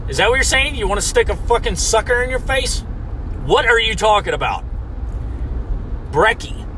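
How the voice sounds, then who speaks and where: An American man from the United States delivering a loud, mock-indignant comedic rant, swearing constantly. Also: high voice, animated, moderate pace, slight background noise, rough recording. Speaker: comedic ranter.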